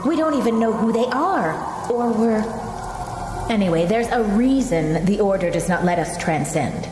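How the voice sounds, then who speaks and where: A woman's voice: mid-range voice, animated, moderate pace, noisy background, close to an everyday voice. A stern warrior woman, voiced by an actress scolds with scorn and menace. Her mid-range voice swings expressively, a game cutscene performance ringing in a big hall over music. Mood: scornful. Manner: scolding, cutting, threatening. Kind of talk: video game cutscene acting. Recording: echoey hall reverb with music behind.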